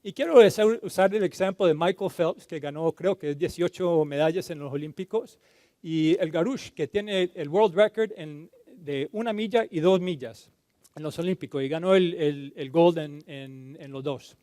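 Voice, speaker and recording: A man from Colombia giving a conference talk, halting and repeating words, slipping into terms now and then. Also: fairly high pitch, mellow, dry recording. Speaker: conference speaker. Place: conference hall stage.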